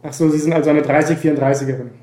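A man's voice: on the high side, fairly even intonation, racing, warm tone, lightly acted. A man playing a polite, evasive ministry official, hesitant and officious, in a naturalistic radio drama. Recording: roomy office sound, faint noise.

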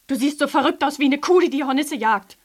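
An actress playing a proud, defiant young working woman in a radio drama, husky and scornful. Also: high voice, fairly quick, close to an everyday voice. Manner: sharp, scornful retorts. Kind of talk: German radio drama acting.

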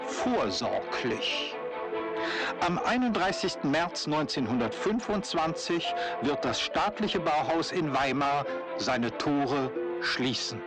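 A man's voice: on the high side, expressive, slow, loud music behind the voice. A man narrating as a factual chronicler in a German radio play, in scripted documentary style. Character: factual male chronicler announcing historical events.

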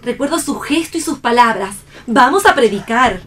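Una actriz interpreta a una hija joven, inocente e idealista, dolida por amor, con tono serio de radioteatro. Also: female voice, fairly high pitch, expressive, moderate pace, a bit bright, recorded from a distance. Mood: hurt reproach. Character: wounded, idealistic innocent young daughter, romantic rival. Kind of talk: theatrical radio play reading.

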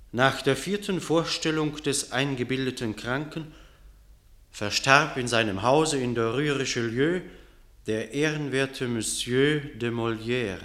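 A formal chronicler in a radio play. His clear-cut, bright voice sits at a medium pitch and delivers scripted narration in a measured, grave way. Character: formal chronicler announcing a historical death.

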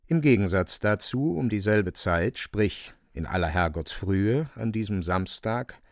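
A male narrator reads literary prose in a measured, matter-of-fact manner. His voice is low and gravelly, set in a dry studio. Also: expressive, dry recording.

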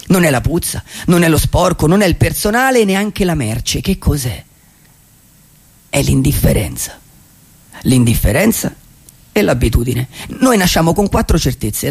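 An actor playing a wry, dreamy male vagabond in a poetic radio monologue, musing aloud with rhetorical questions and deliberate pauses in a husky, gravelly voice. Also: a little high, big pitch swings, heavily acted. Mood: musing, indignant. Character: wry, dreamy male vagabond storyteller, society's gentle outcast.

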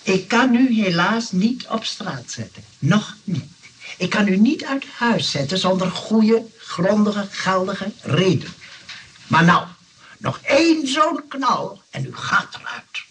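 Pompous, slow grumbling landlord. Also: male voice, sits high, creaky, a bit bright, heavily acted. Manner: pompous, indignant, repeating himself. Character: pompous, grumbling, snobbish male neighbour and landlord. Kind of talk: old radio play acting. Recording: distant, faintly noisy old radio recording.

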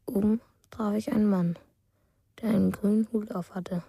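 A woman playing a quiet, dreamy narrator of a child's strange stories in a radio drama, husky, soft and unhurried. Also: medium pitch, steady tone, dry recording, studio quality. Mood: dreamy, detached. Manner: simple, unhurried, matter-of-fact. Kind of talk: slow storytelling in a radio drama.

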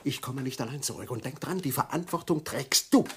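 An actor in a German radio play playing a vain, easily outraged Soviet novelist with a theatrical, declamatory delivery. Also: male voice, medium pitch, animated, a little fast, background noise, lightly acted. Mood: hushed, urgent.

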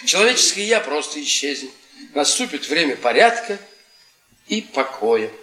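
An actor playing a bitter, sardonic middle-aged history professor, delivering ironic, halting rhetoric in a theatrical radio play. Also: male voice, a little high, very animated, bright, forceful tone, faint music behind. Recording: roomy stage sound with audience noise.

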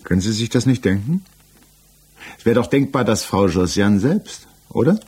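An actor in a 1960s German radio play, playing an examining judge who questions in a pointed, insistent, official and impatient way. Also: male voice, on the low side, sing-song, normal speed, a little mellow, breathy edge, heavily acted. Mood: probing.

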